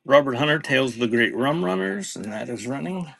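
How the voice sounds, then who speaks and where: A male live auction host with a mid-pitched voice. He announces each item in brief, clipped phrases. Between lots he slips into casual chat with the people watching. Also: expressive, fairly quick, vocal fry, a bit bright. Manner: clipped announcements mixed with casual asides to viewers. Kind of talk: live-stream sales auction, calling out items in short phrases.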